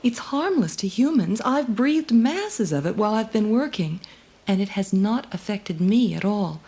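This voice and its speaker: A woman's voice: mid-range voice, lively intonation, unhurried, warm tone. A woman playing an earnest resistance scientist in an audio storybook. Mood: tense, earnest. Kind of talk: dramatised audio storybook acting.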